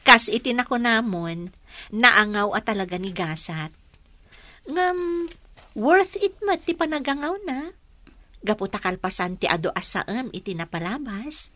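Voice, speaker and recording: A woman playing a sincere, soft-hearted young mother in an emotional radio drama. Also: a little high, expressive, takes it slowly, long pauses, dry recording, exaggerated voice. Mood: teasing, happy.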